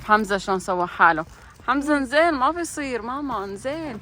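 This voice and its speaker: An older woman with a bright, ringing tone and a somewhat high voice, talking with her grandchildren for a home video. She coaxes and teases them gently, keeping the chat light and playful. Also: expressive, a little fast, a little hiss or hum. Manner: warm teasing, coaxing children, often laughing. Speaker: grandmother joining a family vlog. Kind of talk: casual family chat with grandchildren.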